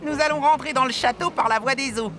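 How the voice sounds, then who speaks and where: An actor voicing a small, bold, reckless toy adventurer in an animated cartoon dub, exclamatory, eager and cocksure. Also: high voice, fast, a little hiss or hum.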